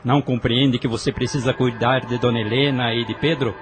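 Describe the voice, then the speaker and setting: A man's voice: mid-range voice, fairly even intonation, a little fast, music in the background, lightly acted. A man with a Brazilian Portuguese accent voicing a determined young man who speaks urgently in an audio drama.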